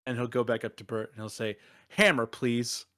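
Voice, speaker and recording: A man's voice: fairly low pitch, little pitch movement, quick pace, no echo, clean studio sound. A man playing a tabletop game, casually describing what his character does.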